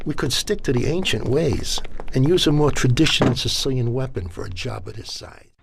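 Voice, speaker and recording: A wry mob armorer in a crime game. His medium voice is gravelly and measured, with loud noise and clicking behind it. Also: medium pitch, expressive. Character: wry male mob armorer offering weapons. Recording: very noisy background with clicking.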